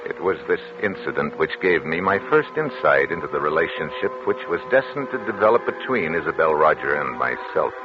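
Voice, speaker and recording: An actor playing a controlling, coolly formal scientist husband who narrates his tale in dramatic, measured 1940s radio style. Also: low-pitched. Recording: noisy, dull vintage 1940s radio recording.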